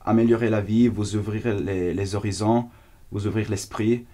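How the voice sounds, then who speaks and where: A man's voice: lowish voice, steady tone, moderate pace, warm tone. A young man with an Italian accent, a student giving an earnest testimonial in a language he is still learning. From Italy. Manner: earnest, searching for words in a second language. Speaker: exchange student. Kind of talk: testimonial interview.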